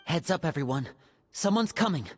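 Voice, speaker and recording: A cautious, level-headed adventurer in a game, with a low, husky voice. She sounds steady and alert, reasoning things through, with faint music underneath. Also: moderate pace. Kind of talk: game voice acting.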